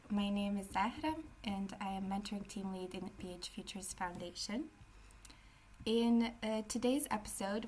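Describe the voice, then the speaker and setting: A woman's voice: mid-range voice, a little hiss or hum. A woman hosting a podcast, reading a scripted guest introduction with occasional fillers. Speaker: podcast host.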